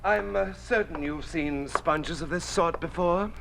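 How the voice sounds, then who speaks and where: An actor playing a corrupt, menacing communist commissar in a 1950s radio drama, speaking in a precise, formal, condescending way. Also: male voice, on the high side, unhurried, creaky. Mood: smug, sinister. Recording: noisy vintage 1950s radio recording.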